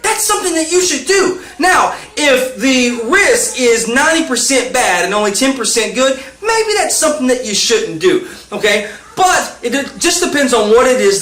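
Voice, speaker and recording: Man, late 20s, very high, sharp voice; energetic motivational host, insistent, in an echoey room. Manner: upbeat, pushy, keeps asking "okay?". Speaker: business tips host. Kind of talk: motivational talk to camera.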